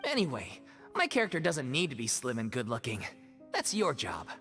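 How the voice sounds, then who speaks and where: An actor voicing a big, easygoing, food-loving male actor from a theatre troupe in a video game, jovial and relaxed. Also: medium pitch, moderate pace, faint music behind.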